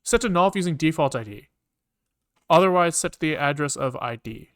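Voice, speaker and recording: A man's voice: fairly high pitch, expressive, a little fast, fairly bright tone, dry recording. A man thinking aloud as he programs on a livestream, muttering code in fragments and swearing casually, close to a desk microphone.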